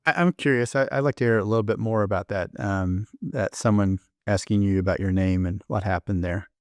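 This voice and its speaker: A man's voice: fairly low pitch, fairly quick, no echo. A man hosting a podcast, introducing his co-host and guest in a friendly, rambling way with many restarts.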